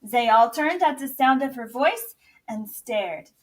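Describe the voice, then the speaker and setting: Lady teacher reading a fairy tale out loud, slow and swoopy, bit far from the mic. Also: high-pitched, expressive. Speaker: teacher reading a story aloud. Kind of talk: expressive storybook read-aloud.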